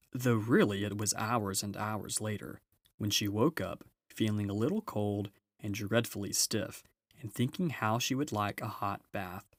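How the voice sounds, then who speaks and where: A man's voice: on the low side, no echo, clean studio sound. A man narrating a children's storybook aloud as an audiobook reader.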